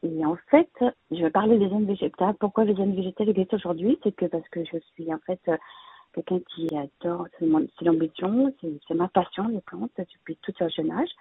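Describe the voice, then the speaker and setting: Raspy, gravelly woman with phone-line sound. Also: fairly low pitch, expressive, a little fast, no echo. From Vietnamese heritage, living in France. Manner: hesitant, many fillers and restarts. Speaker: interior plant decorator, guest expert. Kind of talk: conversational expert advice. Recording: dry, phone-like narrow sound.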